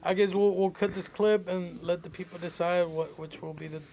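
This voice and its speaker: A man's voice: high voice, fairly even intonation, moderate pace, vocal fry, warm tone, muffled recording, a little hiss or hum. A man co-hosting a casual podcast, talking in a laid-back slangy way. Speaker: podcast co-host.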